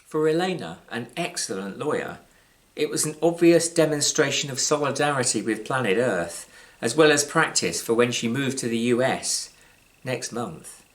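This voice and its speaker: A British man reads his own short story aloud in a measured, wry way, with deliberate pauses and varied emphasis. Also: medium pitch, expressive, a bit bright. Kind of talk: scripted literary storytelling, live reading.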